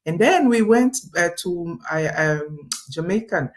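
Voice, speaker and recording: A woman's voice: lowish voice, lively intonation, a little slow. Woman, from Congo, interview guest, chatty, fillers, video call. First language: French. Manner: chatty, laughs often, many fillers and restarts. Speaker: guest, online business owner. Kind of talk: casual interview talk.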